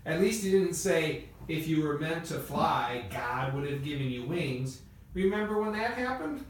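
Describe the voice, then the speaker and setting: A man's voice: fairly high pitch, lively intonation, normal speed, faint music behind, natural voice. A man playing a confident, wry inventor in an amateur radio play. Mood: wry, assured. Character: Wilbur Wright, confident, wry adult male inventor. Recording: echoey room, distant mic, noisy background.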